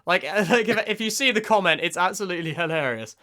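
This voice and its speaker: A man's voice: high voice, animated, fast talker, pushed and bright. A man hosting a casual reaction commentary, rambling and hesitant, often breaking off and restarting his sentences. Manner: rambling, hesitant, self-interrupting. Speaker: commentary host and amateur voice actor.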